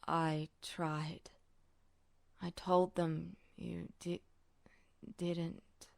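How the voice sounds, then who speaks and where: A woman voicing a dazed, weary, apologetic older man in an audiobook story, slurred and rasping, halting as he speaks. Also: fairly low pitch, little pitch movement, a little mellow, dry recording, voice pushed down, heavily acted. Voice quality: rasping, slurred, breathy near-whisper. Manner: halting, trailing off, insistent.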